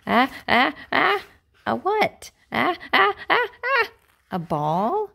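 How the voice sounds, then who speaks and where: A woman's voice: sits high, huge pitch swings, takes it slowly, gravelly, pushed and bright. A woman reading a children's picture book aloud, expressively acting out the characters, in a home recording. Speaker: read-aloud storyteller. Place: living room at home, indoors.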